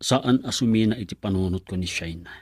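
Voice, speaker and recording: Earnest young lover, low and dry, radio drama. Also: male voice, brisk, slightly rough, no echo. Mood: brooding. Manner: earnest, pleading with his mother. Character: young man chasing a mysterious bar girl. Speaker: actor.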